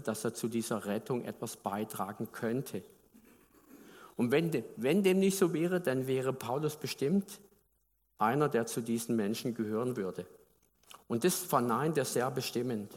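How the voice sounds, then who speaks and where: Preacher, male, from Baden, mid pitch, chatty sermon delivery, long reverb of a church hall. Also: moderate pace. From Baden, Germany. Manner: conversational, asks the congregation questions.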